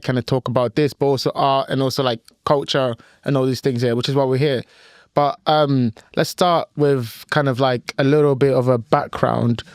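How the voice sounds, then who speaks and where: A man's voice: medium pitch, a little mellow, clean tone, no room sound, studio quality. A young man hosting a podcast, asking long rambling questions full of "you know" and "like". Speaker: podcast host. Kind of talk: casual podcast interview.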